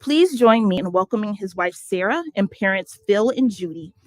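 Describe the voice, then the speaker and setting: A woman presenting an award at a gala, reading a measured, formal tribute to a volunteer. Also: mid-range voice, lively intonation, no room sound. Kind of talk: prepared award presentation speech.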